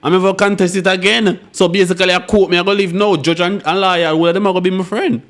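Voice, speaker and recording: A man's voice: high voice, level tone, fairly bright tone. A Jamaican man speaking Patois in a casual group discussion, complaining and telling stories about his own troubles. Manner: quick, complaining storyteller.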